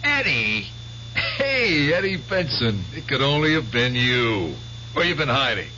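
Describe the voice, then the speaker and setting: Excitable, animated radio drama lawyer. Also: male voice, mid-range voice, unhurried, heavily acted. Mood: excited, surprised. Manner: excitable, exclamatory. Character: excitable, bewildered middle-aged lawyer friend. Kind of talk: old-time radio drama acting. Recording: dull lo-fi radio recording, faint hum.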